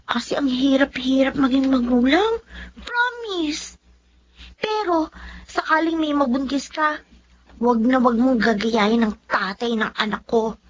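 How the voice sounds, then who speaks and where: A woman's voice: high voice, measured pace. A woman acting a weary, anxious teenage single mother in a Tagalog radio drama. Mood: earnest. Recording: dull lo-fi audio, faint background noise.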